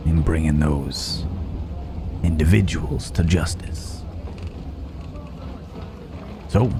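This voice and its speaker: Male actor as a Wild West cowboy, deep voice, slow drawn-out pacing, folksy, courteous with quiet menace, intimate audio roleplay. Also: heavily acted. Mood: quietly menacing. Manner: unhurried, pausing, old-fashioned folksy turns of phrase. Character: laconic, gallant Wild West cowboy stranger, gentlemanly yet menacing. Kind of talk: intimate ASMR roleplay storytelling.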